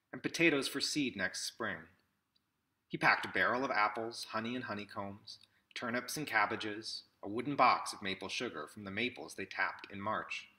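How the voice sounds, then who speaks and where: A man in his thirties reads a storybook aloud in measured short phrases with pauses, recorded indoors at arm's length from the mic. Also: lowish voice, sharp tone. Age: 30s. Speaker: picture book reader. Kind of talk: read-aloud storybook narration. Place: small indoor room with classroom wall displays.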